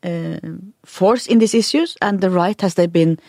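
A man interviewing a guest, asking questions in a halting way as he searches for his words. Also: high voice, darkish tone, no room sound, studio quality. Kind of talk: political interview.

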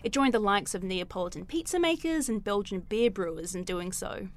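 A woman's voice: mid-range voice, animated, fairly quick. Woman narrating a food podcast. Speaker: podcast co-host. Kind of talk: scripted narration.

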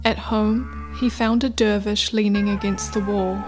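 A woman narrates in a scripted storybook style, very slowly and at a middle pitch. Faint music plays beneath her voice. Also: flat delivery.

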